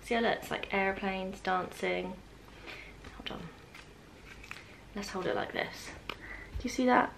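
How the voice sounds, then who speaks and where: A woman's voice: medium pitch, slow pace, vocal fry, pauses often, background noise. A woman in her twenties with a British accent, chatting informally to the camera as a vlogger, drifting into little asides. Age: 20s. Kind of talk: casual chat to camera, unboxing. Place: open-plan living room at home, indoors.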